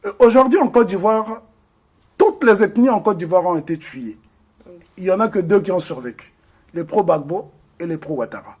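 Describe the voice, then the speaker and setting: A man's voice: sits high, expressive, normal speed, darkish tone, slightly rough. A man from the Republic of the Congo, speaking as an interview guest in an earnest, emphatic way with frequent hesitations. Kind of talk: interview discussion.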